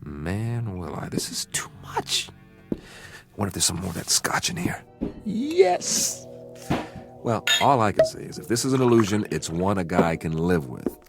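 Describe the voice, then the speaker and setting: An actor playing an excitable, trusting American astronaut in a radio drama, exclaiming and stammering with amazement. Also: male voice, sits low, unhurried, gravelly, over loud music, full character voice. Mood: contented.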